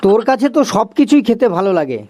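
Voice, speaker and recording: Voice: medium pitch, sing-song, fast, dark tone, slight background noise, close to an everyday voice. A performer voicing a chatty, agreeable schoolboy in a cartoon, with a conversational, explaining manner. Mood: friendly, curious. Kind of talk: cartoon dialogue.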